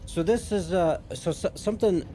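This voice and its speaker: A man's voice: high-pitched, moderate pace, dark tone, soft background music. A man hosting a reaction video, commenting casually in musing, rambling asides.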